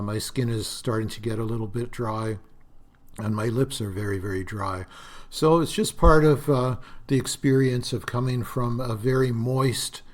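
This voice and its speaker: Guy in his 60s rambling on his own podcast, kinda raspy dry voice, lots of uhs. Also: mid-range voice. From Canada. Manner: rambling, reflective, frequent uh fillers. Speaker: podcast host. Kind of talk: solo podcast monologue.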